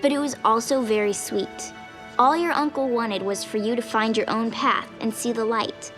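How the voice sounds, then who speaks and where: A woman voicing a tough, caring young girl in an animated series, speaking in a frank, plain way. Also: on the high side, moderate pace, close to an everyday voice. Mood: frank, warm. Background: music behind the dialogue.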